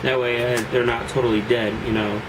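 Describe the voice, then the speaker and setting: A man's voice: medium pitch, little pitch movement, brisk, noisy background. A young man with a cold and a nasal voice, rambling casually through a card deck review with lots of fillers and restarts. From Seattle area. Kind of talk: casual deck profile vlog.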